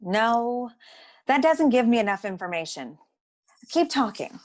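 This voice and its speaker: Detective's no-nonsense female partner played by a woman, slightly high pitch, wry, matter-of-fact, comic noir radio play. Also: very animated, unhurried. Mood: puzzled, insistent.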